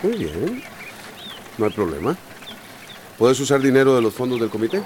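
Radio soap role: man plays emergency chief and husband, responsible, authoritative, medium pitch. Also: big pitch swings, normal speed, a little mellow, slight background noise, natural voice. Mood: businesslike. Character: worried, responsible emergency committee leader and husband. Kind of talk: radio soap opera acting.

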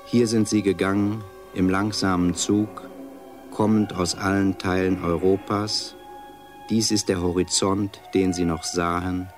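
A man with a very low, level voice reads a literary text solemnly and austerely. He moves slowly, leaving deliberate pauses, with music behind him. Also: little pitch movement.

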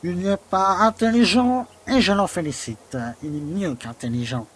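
A man's voice: high-pitched, moderate pace, fairly bright tone, slightly rough. A man acting as a stiff, sardonic village mayor in a French audio drama, formal and dry. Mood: formal, smug.

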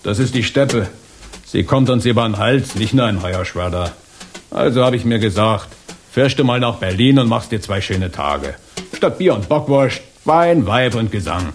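An actor playing a stubborn, plain-spoken small-town East German worker in a radio play, full of grievance. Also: male voice, lowish voice, animated, normal speed, slight background noise. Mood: blustering, confiding. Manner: blustering, plain-spoken, indignant. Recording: studio radio play with music behind.

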